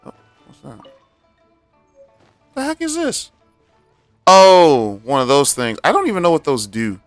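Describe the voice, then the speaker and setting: Lively, slangy male game streamer. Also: fairly high pitch, unhurried, dry recording, faint music behind. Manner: joking, slangy. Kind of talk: casual reactive gameplay commentary.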